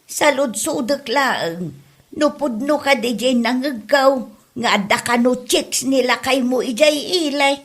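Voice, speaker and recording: Woman acting high-pitched Ilocano village gossip. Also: animated, unhurried, heavily acted. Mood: prying. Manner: chatty, exclaiming. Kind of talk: Ilocano comedy drama acting.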